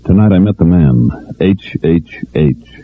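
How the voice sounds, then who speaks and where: An actor playing a male undercover agent in 1940s radio drama, brisk and informal in manner. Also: low-pitched, animated, no echo. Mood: confiding, businesslike. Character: male undercover agent posing as an eager newspaper reporter. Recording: noisy, tinny old radio recording.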